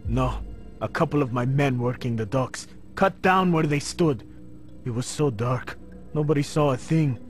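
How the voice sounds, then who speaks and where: Casting: male actor for an embittered harbour foreman in a game, medium pitch, grim and wary. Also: unhurried, soft background music, natural voice. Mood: grieving. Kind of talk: video game voice acting.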